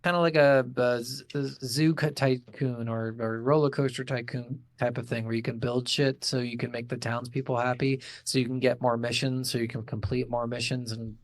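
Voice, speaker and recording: A man talks casually as a podcast host reviewing games. His voice sits at a middling pitch, and he is blunt and swears freely. The sound is very dry, with little room in it. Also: little pitch movement, normal speed, hardly any pauses, no room sound. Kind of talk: casual video game review chat.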